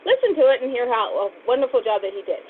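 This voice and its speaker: An excitable woman co-hosting a podcast in casual banter, on muffled audio. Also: on the high side, quick pace, a little hiss or hum. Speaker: podcast co-host.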